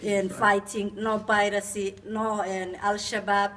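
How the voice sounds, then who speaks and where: A woman giving a short speech at a hall event into a handheld mic, halting and restarting her sentences. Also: medium pitch, flat delivery, fairly bright tone. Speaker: event speaker. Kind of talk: speech to an audience. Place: banquet hall. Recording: roomy hall with faint noise.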